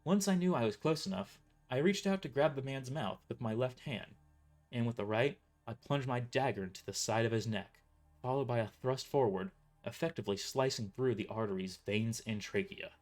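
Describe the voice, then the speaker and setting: A man's voice: medium pitch, moderate pace, a little mellow, soft background music. A man reading a first-person story as a dramatic narrator, occasionally stumbling over his words. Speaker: author narrating own novel.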